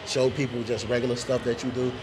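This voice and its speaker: A man's voice: mid-range voice, flat delivery, fast talker, noisy background. A man hosting a vlog, chatting casually in a laid-back, joking, slangy way. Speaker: vlog host. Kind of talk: casual chat.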